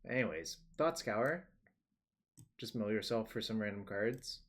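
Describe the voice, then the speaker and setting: A young man casually talking through a card game on his stream, thinking aloud in a relaxed, rambling way. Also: lowish voice, unhurried.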